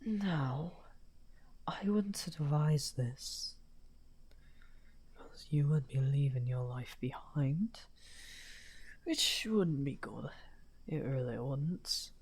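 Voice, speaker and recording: A man playing a lonely, misunderstood evil wizard in an ASMR roleplay, speaking softly and courteously in a breathy, whispery, halting voice. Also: mid-range voice, animated, full character voice. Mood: musing, gentle. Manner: slow, halting, musing.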